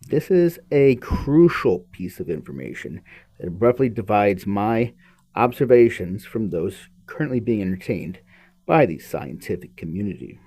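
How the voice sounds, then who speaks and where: A man with a fairly low, mellow voice reads aloud as a podcast host. His pitch moves around a great deal, and he slips from the text into informal, musing commentary aimed at the listener. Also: sing-song, normal speed. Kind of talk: reading aloud with casual commentary.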